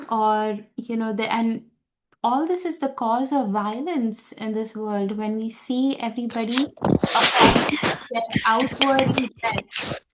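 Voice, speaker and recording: A woman teaches a scripture class at an unhurried, deliberate pace. Her voice sits a little high, and she often pauses, restarts and reaches for words as she explains. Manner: slow, searching, many fillers and restarts. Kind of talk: unscripted talk on scripture.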